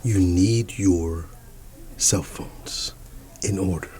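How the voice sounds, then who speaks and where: Easygoing male schoolteacher for ASMR roleplay: soft, whisper-prone, unhurried delivery, reassuring repeated phrases, audible noise behind. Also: low-pitched. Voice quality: soft, often dropping into a whisper. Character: quirky, easygoing male schoolteacher addressing his class. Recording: clearly audible background noise.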